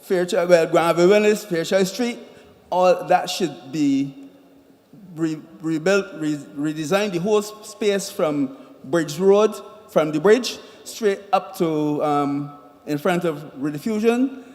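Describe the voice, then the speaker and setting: A man with a Barbadian accent making impromptu remarks at a public consultation, halting and emphatic, often repeating himself. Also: on the high side, a little mellow. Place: large indoor meeting hall. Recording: reverberant hall public-address sound.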